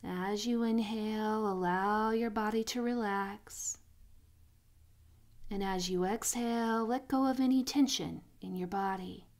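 A woman guiding a sleep meditation in a soothing, unhurried manner, pausing between phrases, with soft, breathy phrase endings. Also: medium pitch, fairly even intonation. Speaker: meditation guide.

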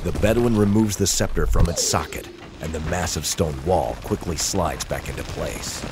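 A man's voice: sits low, lively intonation, normal speed, noisy background. A man narrating an audio drama in an intense, portentous storytelling manner. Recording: loud cinematic music under narration. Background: loud dramatic music.

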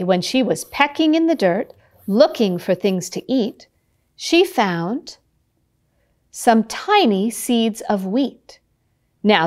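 Animated American woman telling a children's story. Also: a little high. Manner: expressive, deliberate, repetitive storybook cadence. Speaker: library storyteller. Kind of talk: children's storytelling with shadow puppets.

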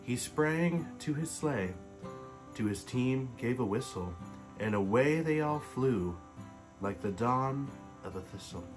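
Guy in his late 20s reading a bedtime story, gentle and easygoing, faint guitar behind. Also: medium pitch, expressive, slow pace, rough recording. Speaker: storybook reader. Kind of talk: reading a Christmas poem aloud. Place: living room couch, indoors. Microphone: webcam facing him at close range.

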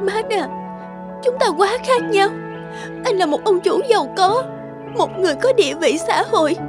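A woman voicing a gentle, insecure young working-class girlfriend in a cartoon drama, earnest and hesitant. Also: very high-pitched, animated, moderate pace. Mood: pained, pleading. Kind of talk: animated drama dubbing. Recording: music under the voice.

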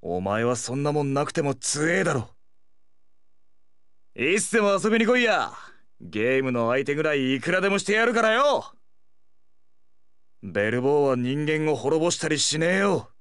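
Casting: hot-headed high-school brawler, stubborn and cocky, higher-pitched, hugely animated delivery, anime game. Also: male voice, moderate pace, long pauses, no room sound, studio quality. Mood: firm, earnest. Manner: rough, cocky street-tough speech. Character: brash hot-headed teenage boy delinquent brawler, stubborn and protective. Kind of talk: anime fighting game voice acting.

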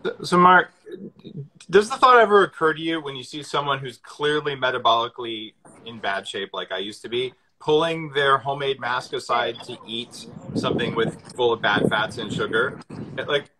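A man's voice: medium pitch, moderate pace, sharp tone, rough recording. A man in his forties hosting a casual live video chat from his kitchen, talking enthusiastically into a phone held at arm's length. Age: 40s. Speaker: host. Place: indoor kitchen with high ceiling. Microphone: phone front camera at arm's length.

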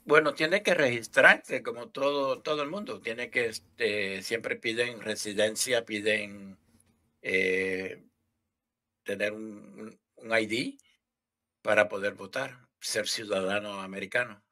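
Older Puerto Rican man, bright voice. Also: fairly low pitch. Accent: Puerto Rican Spanish. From Puerto Rico. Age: 60s. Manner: halting, repeats words while explaining. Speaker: guest. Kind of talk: casual interview answers. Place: home room, indoors. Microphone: headset mic on video call.

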